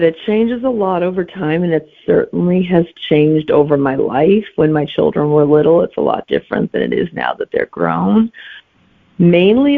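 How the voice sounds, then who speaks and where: A woman's voice: low-pitched, creaky. A middle-aged woman talking casually as an interview guest, over a narrow, tinny line. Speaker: guest author and teacher.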